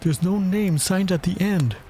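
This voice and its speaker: A man's voice: high voice, unhurried, mellow, slight background noise, pitched above the performer's own voice. A man voicing an earnest, deferential knave protesting innocence in a storybook reading. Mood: pleading. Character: pleading, protesting knave on trial.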